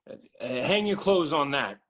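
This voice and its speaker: A man's voice: a little high, animated, a little slow, fairly bright tone, lo-fi sound. A man playing an offhand clubhouse attendant in a dramatic script reading.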